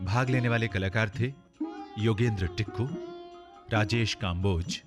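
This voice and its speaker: A man's voice: fairly low pitch, unhurried. A man working as a radio announcer, reading scripted credits in a formal style. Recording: sitar music under the voice. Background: sitar music.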